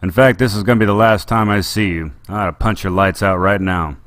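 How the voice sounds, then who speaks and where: A man's voice: lowish voice, moderate pace, dry recording, studio quality, close to an everyday voice. A man voicing a brash, protective male smuggler captain who speaks bluntly and firmly. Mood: angry. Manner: blunt, gruff, firm.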